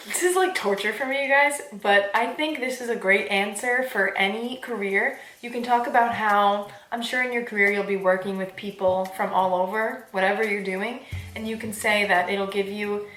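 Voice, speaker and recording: A woman in her twenties giving casual advice in a chatty vlog, with a bubbly, animated, informal manner. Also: mid-range voice, moderate pace, slight background noise. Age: 20s. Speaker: vlogger giving application advice. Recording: roomy echo, faint background music.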